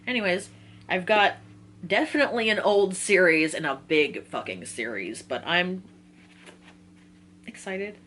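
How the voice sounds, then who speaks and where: A woman's voice: lowish voice, expressive, unhurried, pauses often, a bit bright, slight background noise. A woman in her twenties talking casually and informally to viewers as a book vlogger, giggly and often interrupting herself. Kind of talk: casual chatty book list talk to viewers.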